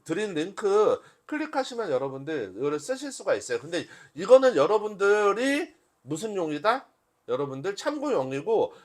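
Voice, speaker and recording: A man giving a live demonstration as a workshop instructor, talking conversationally and often posing questions that he answers himself. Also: high voice. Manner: conversational teaching, asks and answers own questions. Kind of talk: live software demonstration to a class.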